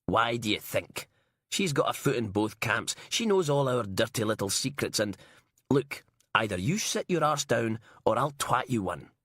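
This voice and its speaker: A man's voice: medium pitch, very animated, normal speed, fairly bright tone, dry recording, clean studio sound. A man reading an audiobook and voicing a crude, sarcastic female police inspector. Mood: mocking.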